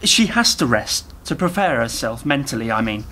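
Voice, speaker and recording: An actor playing an eager, naive younger techie in a radio play, rambling and over-explaining earnestly. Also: male voice, medium pitch, big pitch swings, normal speed, pushed and bright, a little hiss or hum. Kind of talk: community radio play dialogue.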